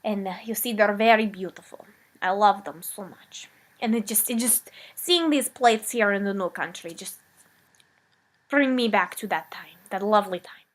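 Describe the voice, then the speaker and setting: A woman playing a rambling immigrant woman from the old country, talking in broken English with a meandering, deadpan comic manner. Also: mid-range voice, normal speed, natural voice. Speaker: comedic character. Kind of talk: improvised comic character monologue.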